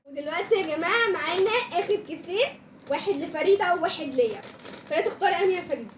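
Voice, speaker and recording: A woman's voice: extremely high pitch, lively intonation, racing, slight background noise. A young girl with an Egyptian Arabic accent, chatting casually as she hosts an unboxing video and gives instructions to a younger child. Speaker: kids' channel host.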